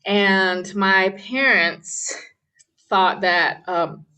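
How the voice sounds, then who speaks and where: A woman's voice: medium pitch, drawn-out words, pushed and bright. A young woman from Malaysia, a pianist, chatting casually in an interview with plenty of fillers and laughs. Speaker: guest pianist.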